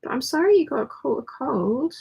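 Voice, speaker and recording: A woman with a croaky voice, chatting casually and ramblingly as the host of a live hangout. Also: high-pitched, expressive, normal speed, a little mellow. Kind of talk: casual livestream chat, reading out viewer comments.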